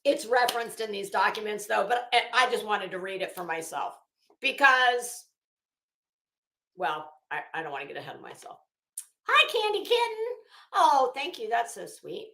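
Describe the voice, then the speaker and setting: An American woman hosting a livestream, chatting casually with viewers in a sarcastic, blunt, sweary and animated way. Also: a little high, normal speed. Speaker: livestream host. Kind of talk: casual chat with live viewers. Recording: roomy, echoey sound.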